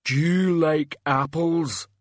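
A huge, simple-minded henchman in an audiobook story. He rumbles out his words very slowly, with childlike insistence, in a close, dry recording. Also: no room sound. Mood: slow, menacing. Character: slow, simple-minded hulking male henchman. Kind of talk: audiobook character acting.

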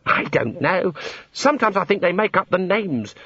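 A man's voice: sits high, sing-song, normal speed, a bit bright, husky, no room sound, highs cut off, pitched above the performer's own voice, heavily acted. A man voicing an earnest, exasperated young wizard scholar, gloomy, hesitant and awkwardly polite, in an audiobook story. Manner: hesitant, gloomy, long-suffering.

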